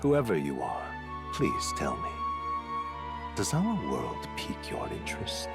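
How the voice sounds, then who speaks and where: A man's voice: very deep voice, huge pitch swings, measured pace, gravelly, loud music behind the voice, exaggerated voice. An actor playing a solemn, mysterious man in a video game, with a grave, formal and theatrical delivery. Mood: mysterious, inquiring. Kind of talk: dramatic English-language game voice acting.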